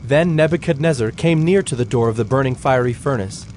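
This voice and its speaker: A man's voice: medium pitch, fairly quick, darkish tone. Solemn male scripture narrator, faint background noise.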